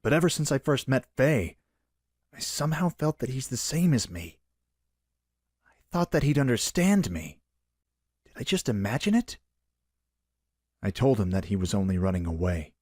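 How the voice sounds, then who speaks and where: A man's voice: mid-range voice, big pitch swings, moderate pace, pauses often, a little mellow, husky, dry recording, clean studio sound. A man acting an earnest young exiled prince, burdened and unsure of himself, in a fan radio play. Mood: quiet self-doubt.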